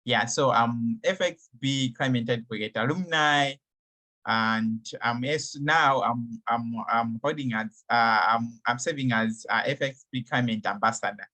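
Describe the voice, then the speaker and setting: Slow, halting young man from Malawi. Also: medium pitch, sharp tone, low-quality recording. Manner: halting, many fillers and restarts. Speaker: climate activist guest. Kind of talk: online interview answers.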